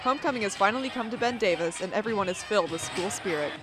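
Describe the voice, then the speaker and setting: Peppy fast teen reporter. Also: female voice, mid-range voice, animated, music in the background, low-quality recording. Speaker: student reporter. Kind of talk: scripted news narration.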